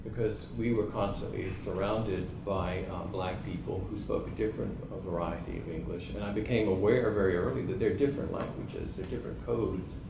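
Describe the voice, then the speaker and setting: Musing male panelist with a lowish voice, heard in a roomy hall over steady background noise. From Georgia, USA. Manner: unhurried, musing, many ums and uhs. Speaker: panelist writer. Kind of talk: reflective panel talk. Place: event room in a library. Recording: echoey room with background noise.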